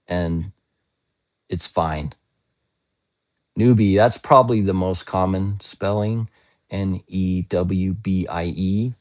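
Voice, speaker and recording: A man with a hoarse, scratchy voice, hosting a casual live-stream English lesson and patiently answering viewer comments, often spelling words out. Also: low-pitched, darkish tone. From United States.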